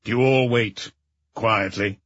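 A man voicing a calm, encouraging police inspector who patiently puts short questions to a child in an audiobook. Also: on the low side, slow pace, no room sound, dull audio. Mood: calm, probing.

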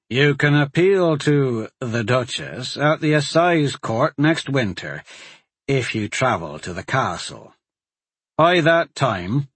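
A man voicing a sheriff, loyal to his lord and firm but uneasy, speaking in a measured, cautioning way. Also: medium pitch, fairly bright tone, husky, no room sound, full character voice. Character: worried loyal sheriff, middle-aged man, stern.

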